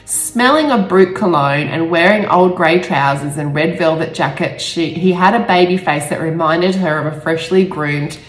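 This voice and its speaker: A woman author speaking in a halting, emotional way, stumbling over words now and then. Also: lowish voice. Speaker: author reading own novel aloud. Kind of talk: amateur storybook reading with personal asides. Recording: roomy echo with faint music.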